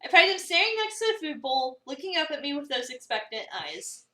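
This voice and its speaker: A woman performs an overwhelmed young cafe worker telling a visual novel story with sarcastic, dramatic disbelief. The voice is high and swings widely, picked up from slightly away in a roomy space. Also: very animated, brisk, pushed and bright, full character voice. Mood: exasperated. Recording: roomy, slightly distant mic.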